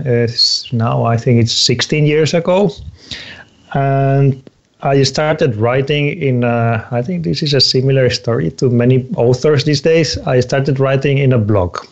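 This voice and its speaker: Casting note: man in his thirties, from Spain, native Spanish speaker, halting author guest, dull lo-fi audio. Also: mid-range voice. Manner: halting, searching for words. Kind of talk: casual interview conversation.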